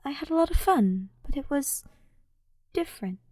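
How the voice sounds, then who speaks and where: A woman voicing a gentle, earnest teenage boy with an eager, expressive manner in a fan fiction audiobook. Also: sits high, moderate pace, no room sound, studio quality, pitched above the performer's own voice. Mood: soft, curious. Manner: soft-hearted, eager, expressive.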